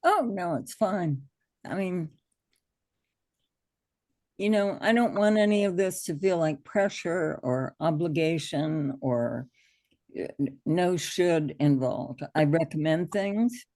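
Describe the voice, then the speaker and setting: A middle-aged American woman with a gravelly, hoarse allergy voice, informally teaching an online hypnosis class in an encouraging, reassuring way. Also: deep voice, slow, darkish tone.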